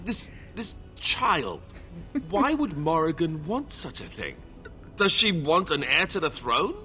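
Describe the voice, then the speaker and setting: A man's voice: a little high, sing-song, takes it slowly, pushed and bright, soft background music, heavily acted. A man voicing a young warrior in a video game, wry and earnest, an awkward reluctant leader. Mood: incredulous, sarcastic. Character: young male warrior, awkward, sardonic, reluctant heir turned rallying leader. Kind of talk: video game dialogue acting.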